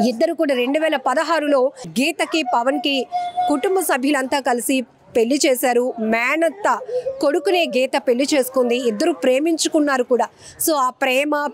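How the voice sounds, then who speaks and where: A woman's voice: high voice, darkish tone. A woman news presenter narrating in a solemn, earnest, emotive way, appealing to viewers.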